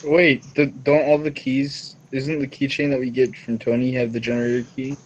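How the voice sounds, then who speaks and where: A man's voice: medium pitch, little pitch movement, normal speed, lo-fi sound. A man chatting casually as a player in a tabletop game. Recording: clear background noise.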